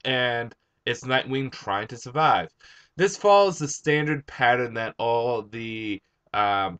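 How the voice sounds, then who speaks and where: A young man with a hoarse, tired voice, just out of bed, rambling through a casual comic book review. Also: lowish voice, a bit bright. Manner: rambling, chatty, with frequent "uh" and restarts.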